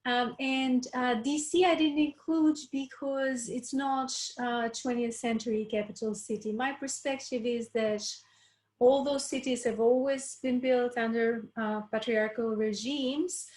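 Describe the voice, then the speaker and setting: A woman from Albania in her thirties, giving a relaxed academic talk on a video call, with many ums and uhs. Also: fairly high pitch, a little slow. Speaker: panel speaker, urban researcher. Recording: echoey room sound.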